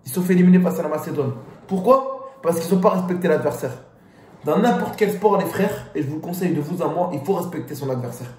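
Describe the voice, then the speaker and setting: A man's voice: a little high, fast. A man giving a casual, slangy pre-match football rant as host of his channel. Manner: animated, slangy street talk. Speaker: football YouTuber. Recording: echoey room.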